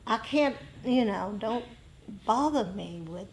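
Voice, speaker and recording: A woman giving an unscripted dharma talk as a meditation teacher, with deliberate, emphatic phrasing and some repetition. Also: fairly high pitch, expressive, poor audio. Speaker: meditation teacher leading group discussion. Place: group meditation meeting room. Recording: clear background room noise.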